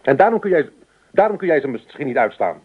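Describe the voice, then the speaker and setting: An actor in a Dutch radio play, playing an irritable, practical husband who halts and repeats himself in exasperation. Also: male voice, fairly high pitch, big pitch swings, breathy edge. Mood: offended. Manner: exasperated, halting, repeats words. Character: irritable, practical husband weary of his wife. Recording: muffled, tinny band-limited radio recording.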